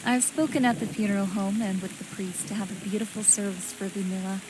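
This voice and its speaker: Formal, remorseful woman, acted, in steady rain. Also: mid-range voice, brisk, lightly acted. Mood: polite, uneasy. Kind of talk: dramatic video game dialogue. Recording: steady rain noise over the dialogue.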